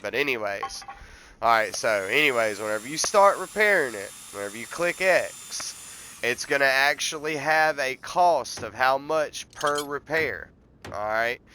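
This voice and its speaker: A man's voice: mid-range voice, animated, unhurried, sharp tone, a little hiss or hum. A man, congested from a cold, casually walking through a car-repair game step by step in a rambling, folksy way. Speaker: gameplay tutorial host.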